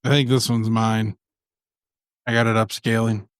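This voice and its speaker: A man's voice: lowish voice, little pitch movement, measured pace, smooth, no echo, clean studio sound. A man co-hosting a comedy podcast, talking in casual, offhand chat with friends.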